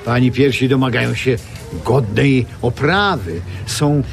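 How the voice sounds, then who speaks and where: A slow, pompous craftsman. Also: medium pitch, vocal fry, soft background music. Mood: florid, wistful. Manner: florid, slow, theatrical. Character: pompous, melancholy old-fashioned bra maker, florid flatterer. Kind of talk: Polish radio drama acting.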